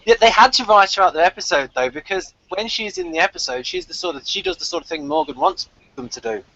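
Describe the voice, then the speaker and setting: A man's voice: high voice, wildly swooping pitch, fast, vocal fry, pushed and bright. A man hosting a casual review discussion on a video call, rambling and thinking aloud as he goes. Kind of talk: casual unscripted chat. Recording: dull lo-fi video call audio.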